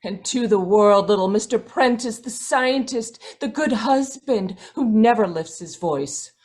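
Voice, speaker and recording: Lady playing middle-aged wife in old radio play, raspy slightly high voice, bitter, frightened, pleading. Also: unhurried, a little mellow. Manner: intense, pleading. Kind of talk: old-time radio drama acting. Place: indoors, live video call performance.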